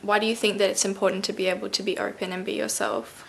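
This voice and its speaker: Woman interviewer with gravelly fry. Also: medium pitch, flat delivery, fast talker, fairly bright tone. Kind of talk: interview questions.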